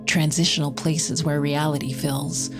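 A very low, slow female voice over clear music. a haunted woman narrating an audio drama, brooding and grave, measuring out each introspective thought. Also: level tone. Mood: brooding, uneasy. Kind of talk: dramatic first-person narration.

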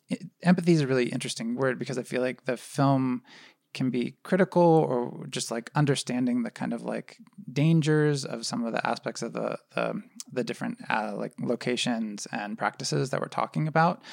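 A man's voice: medium pitch, normal speed, creaky, darkish tone, no room sound, studio quality. A man filmmaker giving casual, reflective interview answers, hesitantly circling his point with hedging words. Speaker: filmmaker guest.

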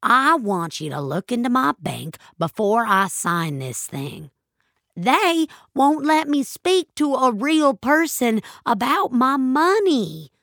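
A woman's voice: fairly high pitch, big pitch swings, takes it slowly, fairly bright tone, no room sound, clean studio sound, pitched above the performer's own voice, exaggerated voice. A woman voicing a stubborn, indignant elderly woman who is snappish and folksy, in an audio fiction story. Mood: stubborn, defiant.

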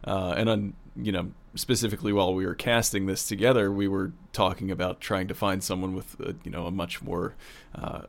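A man's voice: low voice, flat delivery, fairly quick, vocal fry. A man talking casually as a producer interviewing a colleague in a behind-the-scenes conversation.

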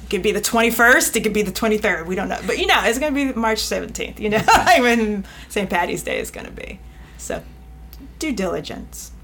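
A woman guest, an editor, talking casually in an interview, animated and often laughing, with many "you know" fillers. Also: mid-range voice, a little fast, a little hiss or hum. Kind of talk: conversational interview.

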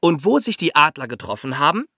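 Male podcast explainer, bright tone, very lively intonation, colloquial storytelling style. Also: on the high side, a little fast, no echo, clean studio sound. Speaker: podcast host explaining history.

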